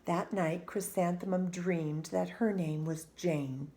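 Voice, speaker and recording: A woman reading a children's storybook aloud with expressive, deliberate phrasing. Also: fairly low pitch.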